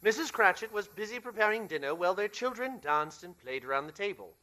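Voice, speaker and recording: High, bright male radio-play narrator. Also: expressive, fast talker. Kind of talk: theatrical scripted storytelling in a radio play.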